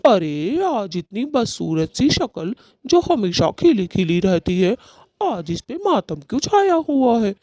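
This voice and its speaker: A man's voice: high voice, big pitch swings, takes it slowly, warm tone, no room sound, clean studio sound, full character voice. A man playing an aggrieved male cartoon bird husband who speaks in a dramatic, reproachful way. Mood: scornful. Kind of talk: Hindi cartoon story dialogue.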